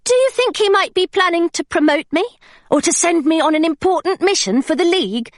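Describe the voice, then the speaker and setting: A woman's voice: very high voice, expressive, brisk, dry recording, clean studio sound, voice pushed up. A woman voicing an eager, polite young female pirate.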